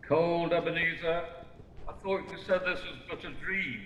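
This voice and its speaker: A reproving Christmas ghost played by a man speaks in a measured, solemn way. The voice sits a little high for a man and rings with echo over faint noise. It is theatrical radio play acting. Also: steady tone, bright, forceful tone, muffled recording. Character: solemn, reproving male Christmas spirit guiding a miser. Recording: echoey, reverberant, slightly noisy recording.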